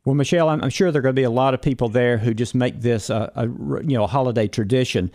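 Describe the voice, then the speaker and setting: A man hosting a morning broadcast interview, speaking in a smooth, measured way with a few hesitant fillers. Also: mid-range voice, a little mellow. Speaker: morning show host.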